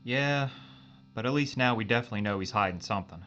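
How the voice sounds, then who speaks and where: A man's voice: fairly low pitch, fast talker, a bit bright, muffled recording, slight background noise, natural voice. A man playing a loyal, wry spaceship crewman and tinkerer in an audio drama, talking casually. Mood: concerned, curious.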